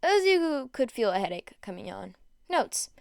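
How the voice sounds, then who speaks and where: A woman narrating a fan fiction story aloud with expressive delivery. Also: on the high side, moderate pace, gravelly, no echo, clean studio sound.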